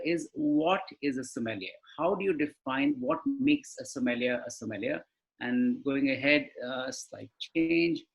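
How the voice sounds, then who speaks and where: A man's voice: fairly high pitch, vocal fry, fairly bright tone, rough recording. A man, speaking as a panelist on a live webinar over a video call, explaining in a conversational way and asking rhetorical questions. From India.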